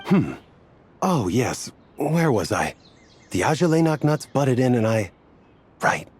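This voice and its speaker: An earnest, slightly dazed man from a video game, telling a strange tale in a rambling way. His voice sits at a middle pitch but moves about a lot. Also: very animated, slow pace, darkish tone, slight background noise. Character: dazed desert wanderer, adult man, earnest.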